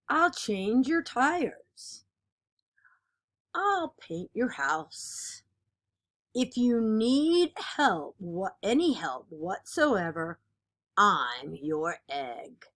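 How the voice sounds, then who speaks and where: Lady being a super earnest goody-goody egg from a kids storybook, slow n dramatic, pitch all over. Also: full character voice. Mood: proud, cheerful. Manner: expressive, deliberate, theatrical storytelling. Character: earnest, dutiful good egg narrating its picture-book life.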